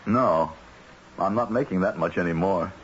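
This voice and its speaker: A man's voice: low voice, moderate pace, lightly acted. A man playing a harried, money-worried husband in a radio drama, earnest and exasperated with his wife. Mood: weary, worried. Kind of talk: 1970s radio drama acting. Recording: dull old radio broadcast, faint hiss.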